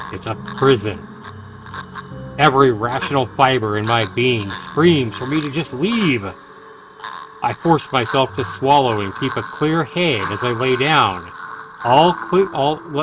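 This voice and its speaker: A man live-streaming a visual novel, reading it aloud in a dramatic, deliberate way with casual commentary. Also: medium pitch, noisy background. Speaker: game streamer.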